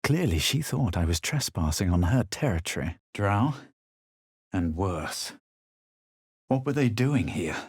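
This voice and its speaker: A self-assured male adventurer for a fantasy game. His voice is lowish and husky, with a grave, theatrical haughtiness. The sound is dry and studio-clean. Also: big pitch swings, unhurried, pauses often, dry recording, heavily acted. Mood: brooding, grave. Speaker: player character voice actor. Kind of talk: dramatic scripted fantasy game lines.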